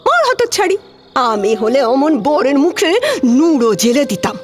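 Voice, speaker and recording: A woman playing an elderly, sharp-tongued but affectionate widowed village aunt in a Bengali audio drama, with a rural West Bengal accent and a scolding, exclaiming delivery. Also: high voice, very animated, unhurried. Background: background music.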